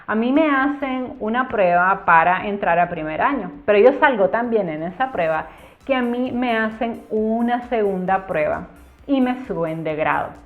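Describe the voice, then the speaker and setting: A woman's voice: mid-range voice, expressive, moderate pace. Cuban-born woman vlogging, thin phone-like sound. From Cuba. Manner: earnest, explanatory, stretches words for emphasis. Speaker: YouTuber. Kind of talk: casual storytime vlog. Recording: tinny phone-like sound with faint music.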